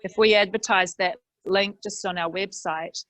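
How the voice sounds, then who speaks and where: A woman's voice: medium pitch, fairly even intonation, normal speed, a bit bright, no room sound. Middle-aged woman, plain practical tutor, dry headset-mic audio. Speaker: library workshop host. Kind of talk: explaining a video-call app. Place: small office with green wall.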